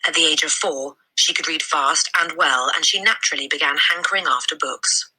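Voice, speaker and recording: A woman reading a children's novel aloud as an expressive narrator, leaning on key words with deliberate emphasis. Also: low-pitched, husky, rough recording. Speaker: storybook reader.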